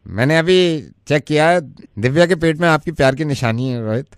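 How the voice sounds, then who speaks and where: Guy doing a chatty teasing doctor on a prank call, really high male voice, flips between hindi and english, dry sound. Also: expressive, moderate pace, clean tone, no echo, natural voice. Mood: gleeful, teasing.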